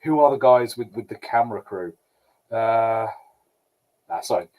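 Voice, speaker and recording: A man's voice: on the low side, moderate pace, darkish tone. A man co-hosting a podcast, talking casually with a hesitant, stammering delivery. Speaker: podcast co-host. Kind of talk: casual pop culture review chat.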